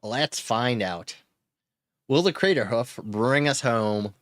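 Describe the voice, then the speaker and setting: A man's voice: medium pitch, expressive, slow pace, a bit bright, dry recording. A man giving casual gameplay commentary while playing a card game, thinking aloud, chuckling and cheering his wins.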